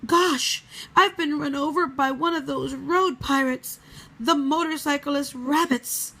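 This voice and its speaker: A woman's voice: high-pitched, a little slow, gravelly, bright, forceful tone, voice pushed up, exaggerated voice. Woman voicing a sly, hungry, theatrical male wolf. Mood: sly, scheming. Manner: theatrical, wheedling.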